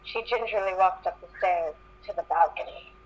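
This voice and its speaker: A woman's voice: fairly low pitch, moderate pace, a little hiss or hum. Dull lo-fi woman reading aloud. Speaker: reader in a group comedy reading. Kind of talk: live read-aloud of fan fiction. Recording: dull lo-fi voice-chat microphone.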